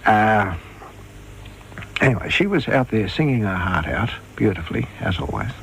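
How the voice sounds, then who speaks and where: An older man telling an anecdote in an interview, in an animated, colloquial way. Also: on the low side, moderate pace. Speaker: interviewee, former radio performer. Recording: noisy archival interview recording.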